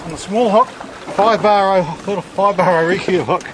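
A man's voice: high voice, moderate pace. A man giving casual running commentary as an amateur angler filming his fishing. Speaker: amateur angler narrating own fishing video. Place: outdoors on a small boat at sea. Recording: very noisy outdoor boat recording. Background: boat and water noise.

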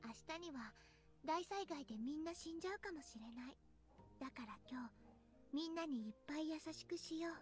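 High, sweet anime schoolgirl. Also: expressive, very fast, faint music behind. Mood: gently earnest. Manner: soft, cutesy, refers to herself by name. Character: gentle, sweet teenage schoolgirl, earnest and cheerful. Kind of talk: Japanese anime visual novel acting.

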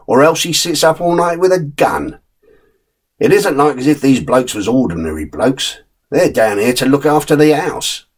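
A man's voice: a little high, slightly rough. An older man voicing a curt, surly male burglar, in a read-aloud story.

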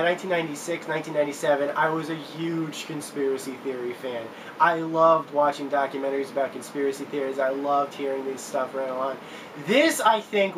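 A man in his twenties giving casual countdown commentary to camera as a video game reviewer, recorded in a room at home. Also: a little high. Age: 20s. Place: small room at home, indoors. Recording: noticeable background hiss.

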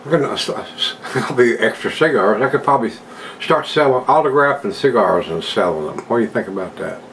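A man's voice: mid-range voice, expressive, fairly quick, fairly bright tone, slight background noise, low-quality recording. An older man at home casually reviewing a beer, chatting to his viewers in a folksy way. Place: home room with a desk and plants, indoors.

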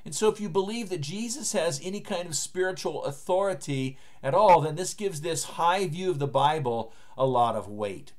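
A man's voice: a little high, lively intonation, moderate pace, few pauses, darkish tone. Earnest middle-aged man preaching from his study. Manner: earnest, emphatic preaching to camera. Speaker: pastor. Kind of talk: recorded online sermon. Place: home study lined with bookshelves, indoors. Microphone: webcam framing, seated at desk.

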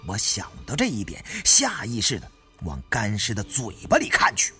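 Animated male audiobook narrator, suspenseful. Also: on the low side, normal speed, faint music behind. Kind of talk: dramatic scripted story narration.

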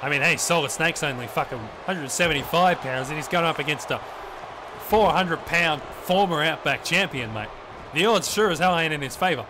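A man doing excited, profane play-by-play commentary, often throwing in "mate". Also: fairly high pitch, moderate pace. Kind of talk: wrestling commentary on a video game match. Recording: noisy background.

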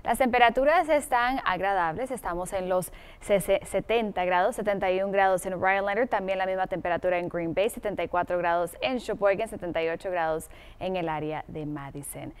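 A woman news anchor speaks in a crisp, resonant voice at a middle pitch. She presents news and weather in a broadcast style from a TV studio. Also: fairly quick.

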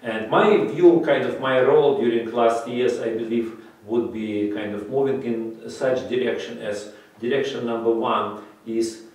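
A middle-aged man, for whom English is a third language, answering interview questions in halting speech with many fillers. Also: medium pitch, steady tone, a little mellow. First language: not English; English his third language. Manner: halting, many fillers and restarts, gestures while talking. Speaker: interviewee, breathing method teacher. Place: bright office room, indoors. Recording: echoey room from a distance.

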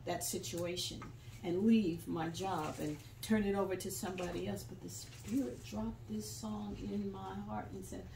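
A woman's voice: medium pitch, steady tone, moderate pace, vocal fry, hardly any pauses, warm tone, slight background noise. An older woman preaching a fervent sermon at a pulpit in a small church, quoting scripture and breaking into song. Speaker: guest preacher. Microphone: phone camera from the pews, far off. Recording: echoey room, far from the phone mic.

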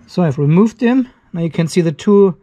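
A man's voice: high-pitched, lively intonation, normal speed, dark tone. An older man with a German accent, narrating hands-on work casually and informally as he thinks aloud in a garage workshop, with some self-deprecation. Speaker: DIY video host.

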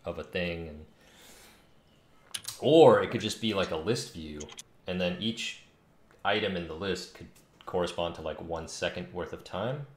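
A man's voice: low-pitched, unhurried, long pauses. A man in his thirties talking casually and informally as he thinks aloud while livestreaming game development work. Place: indoor home room. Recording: roomy echo, faint noise, keyboard clicks. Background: faint noise, keyboard typing and clicks.

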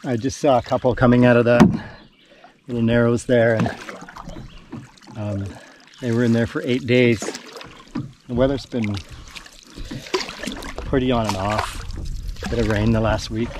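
A man chatting casually to camera outdoors, with a laid-back, musing and slightly halting delivery. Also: mid-range voice, fairly even intonation, noisy background. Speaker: outdoor vlogger. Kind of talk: casual vlog chat to camera. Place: outdoors on a lake in a canoe.

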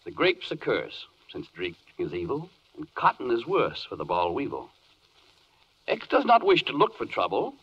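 An actor playing a fussy, argumentative go-between listing objections in rhymed 1940s radio comedy. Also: male voice, medium pitch, wildly swooping pitch, moderate pace, breathy edge, full character voice. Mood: objecting. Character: fussy male interpreter relaying a client's objections. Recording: dull, band-limited 1940s radio recording.

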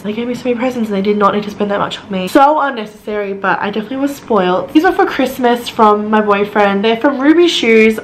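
Sick, slightly raspy young woman. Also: a little hiss or hum, rough recording. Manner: chatty and bubbly, rising into high excited quotes. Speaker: beauty vlogger. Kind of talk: casual haul video. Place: bedroom, indoors. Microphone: camera close up, facing viewer.

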